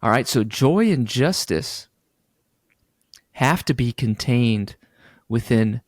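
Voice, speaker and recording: Male co-host, casual podcast chat, mid-pitched, dry close mic. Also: expressive, slow pace, no echo. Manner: playful, laughs often, recaps a church sermon series.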